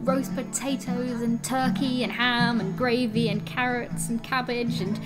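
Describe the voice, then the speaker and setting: A woman's voice: a little high, flat delivery, unhurried, lightly acted. A woman playing a jolly, theatrical storyteller, narrating an audio drama with relish and rhythmic lists. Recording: loud music behind the voice.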